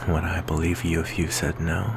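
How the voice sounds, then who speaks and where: A man playing a melancholy, insecure vampire boyfriend in an ASMR roleplay, speaking softly and hesitantly in a gravelly whisper. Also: low-pitched, little pitch movement, noisy background. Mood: wistful, apologetic. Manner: soft, hesitant, intimate, slow with pauses.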